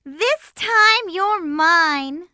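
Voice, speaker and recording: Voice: very high-pitched, expressive, extremely slow, pushed and bright, no echo, clean studio sound, full character voice. A performer voicing a feisty, girlish young female hedgehog in a video game. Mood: indignant.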